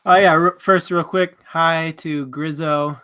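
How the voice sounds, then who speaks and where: A man's voice: on the high side, steady tone, slow pace, pushed and bright. A man co-hosting a live book discussion stream, talking casually and in a friendly, informal way to viewers.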